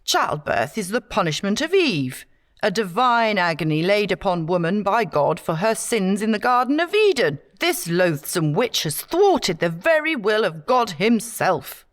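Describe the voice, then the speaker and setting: Lady doing a zealous witchfinder guy, pompous and preachy, pitch all over the place, storybook drama. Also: big pitch swings, measured pace. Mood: outraged, commanding. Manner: declamatory, pompous.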